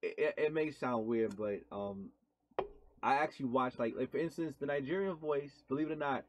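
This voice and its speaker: A man co-hosting a casual podcast, talking in a halting way and repeating his words. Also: medium pitch, noisy background. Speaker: co-host. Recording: dull lo-fi sound.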